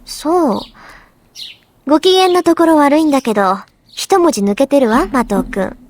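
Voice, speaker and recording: A woman's voice: sits high, sing-song, fast, darkish tone. An actress playing a cool, teasing teenage girl in a visual novel, speaking curtly. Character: cool, sharp-tongued teenage schoolgirl. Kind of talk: Japanese visual novel voice acting.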